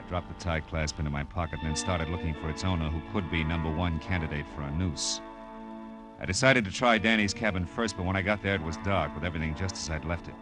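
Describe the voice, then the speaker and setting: An actor playing a tough, wry private detective in a 1940s radio drama, clipped and sardonic, slinging slang as he talks and narrates. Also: very deep voice. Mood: tense, narrating. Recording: vintage radio broadcast with faint music.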